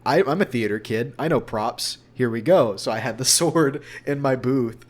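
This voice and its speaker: A young man chatting casually as an interview guest, rambling and halting in a self-deprecating way. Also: medium pitch. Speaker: voice actor guest.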